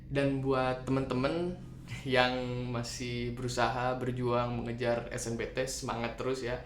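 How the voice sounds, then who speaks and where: A young man in his late teens giving an informal student testimonial, pausing to search for his words. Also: mid-range voice, little pitch movement. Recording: roomy echo, faint background noise.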